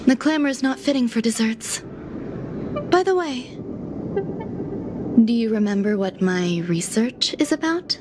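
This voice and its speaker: A woman playing a serene, eccentric scientist in a video game, speaking in measured, precise and formal phrases. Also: a little high, lively intonation, background noise. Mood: gentle, airy. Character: serene, eccentric female scientist who loves desserts. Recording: music behind the voice.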